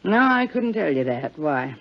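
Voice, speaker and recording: A woman's voice: deep voice, sing-song, moderate pace, heavily acted. An actress playing a wary, terse American landlady in a 1950s radio drama, speaking in guarded, folksy short answers. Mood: grudgingly helpful. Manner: guarded, laconic, folksy grammar. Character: wary, terse landlady of a run-down rooming house. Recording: muffled 1950s radio recording.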